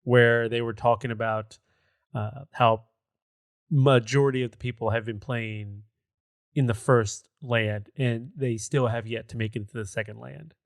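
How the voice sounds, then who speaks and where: A man's voice: mid-range voice, steady tone, a little slow, no room sound, studio quality. A man co-hosting a gaming podcast, chatting casually in a conversational, rambling way. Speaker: podcast co-host.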